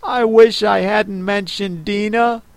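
A man's voice: high voice, animated, slow, dry recording, voice pushed up, exaggerated voice. A man voicing an eager, polite little girl in an audiobook, with a pleading manner. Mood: sad. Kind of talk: audiobook character voice.

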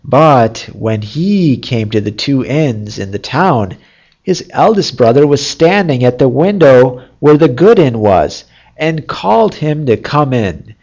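A storyteller reading a fairy tale as scripted narration in a measured, deliberate way. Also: mid-range voice, animated, darkish tone, muffled recording.

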